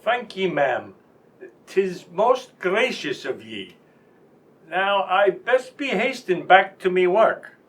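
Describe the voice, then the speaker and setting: A deferential Scottish manor gardener in a radio play. He speaks high and very sing-song in a thick dialect, some way off from the mic. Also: sing-song, slow, a bit bright, heavily acted. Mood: humble. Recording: distant from the mic.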